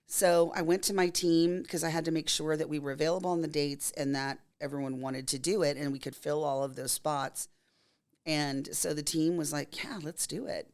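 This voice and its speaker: A woman in her fifties from Texas, a makeup artist telling stories in a casual interview, her voice scratchy and hoarse from a cold. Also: low voice, steady tone, normal speed. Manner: chatty storyteller, laughs often.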